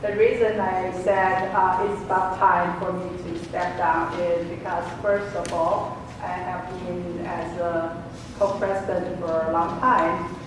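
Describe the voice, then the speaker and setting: A woman's voice: fairly low pitch, flat delivery, measured pace. A middle-aged woman giving an impromptu thank-you speech, searching for words, with non-native English phrasing. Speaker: departing co-president of a parents association. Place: large room at a community seminar. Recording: very echoey room, distant mic, clear noise.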